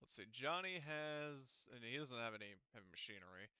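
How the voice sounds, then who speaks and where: A man runs a casual tabletop game in a forceful, smooth voice. He talks through the details out loud, pausing to correct himself. The sound is very dry and a little lo-fi, like a voice chat. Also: mid-range voice, lively intonation, dry recording. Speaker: game master. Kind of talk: casual tabletop game talk, running a session.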